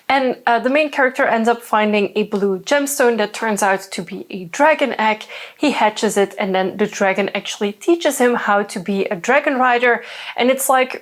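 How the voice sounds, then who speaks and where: Young woman, native Dutch speaker, for a casual book chat full of asides, slightly higher voice. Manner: chatty, many fillers and asides. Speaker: book reviewer. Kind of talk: casual book review talk.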